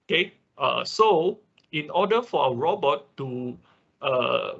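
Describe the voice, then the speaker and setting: Male instructor, non-native English speaker, slightly rough husky voice, halting and repetitive explainer, lo-fi online class sound. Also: on the high side, animated, a bit bright. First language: not English. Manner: halting, repetitive explaining with frequent check words. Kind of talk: informal explanatory lecture. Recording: dull, lo-fi online class audio.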